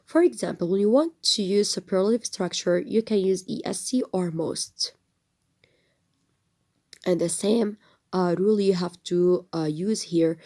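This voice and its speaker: A woman teaching an English grammar lesson, explaining patiently with frequent fillers and trailing into a soft whisper at phrase ends. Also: on the low side. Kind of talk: recorded textbook lesson.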